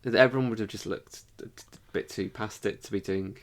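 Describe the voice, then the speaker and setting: A man's voice: lowish voice, fairly even intonation, brisk. A man co-hosting a podcast in a casual, informal chat. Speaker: podcast co-host.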